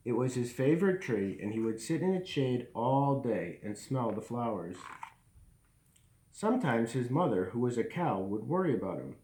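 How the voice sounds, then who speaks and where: A man reading a storybook aloud for bedtime, expressively, with pauses for emphasis and asides to his listeners. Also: medium pitch, mellow, roomy sound, a little hiss or hum. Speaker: bedtime story reader for a school community.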